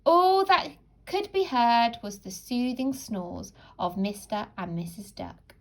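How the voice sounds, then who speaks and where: A woman narrating a children's storybook like a teacher, in a dramatic, deliberate and expressive way. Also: a little high.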